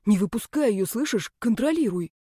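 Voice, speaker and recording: A woman's voice: fairly high pitch, expressive, normal speed, dark tone, slightly rough, dry recording, studio quality. Woman voicing a brash young male snake-man. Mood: urgent hushed. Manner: slangy, scrappy, protective.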